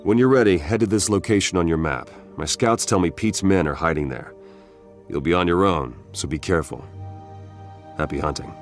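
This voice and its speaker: An actor playing a steady, commanding guard captain in a video game, firm but fair. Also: male voice, sits low, moderate pace, natural voice. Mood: composed, encouraging. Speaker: military captain. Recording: music behind the voice.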